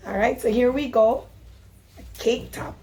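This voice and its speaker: A woman from Jamaica, hoarse, chatting casually through a DIY craft tutorial and explaining each step. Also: fairly high pitch, measured pace, darkish tone. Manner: chatty and instructive, apologetic about her voice.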